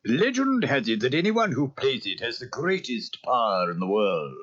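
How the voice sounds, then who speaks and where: A man's voice: medium pitch, sing-song, moderate pace, a bit bright. A man playing a gloomy, deferential but sinister butler in a children's cartoon audio story. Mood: dry, deferential. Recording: muffled old audio cassette.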